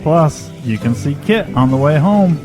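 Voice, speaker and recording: An actor playing an easygoing, affectionate husband in an audio drama. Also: male voice, on the high side, expressive, unhurried. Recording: music behind the voice.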